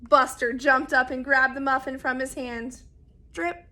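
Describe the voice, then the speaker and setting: A woman reading a children's picture book aloud expressively and deliberately, speaking straight to young listeners. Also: high voice, fairly even intonation, sharp tone. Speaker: read-aloud storyteller.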